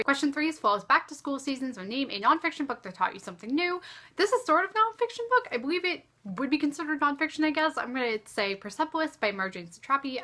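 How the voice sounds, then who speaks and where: Bright-voiced woman gushing in casual chat. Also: a little high. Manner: gushing, enthusiastic, informal slang. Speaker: book vlogger and host. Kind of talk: casual chatty book tag to camera.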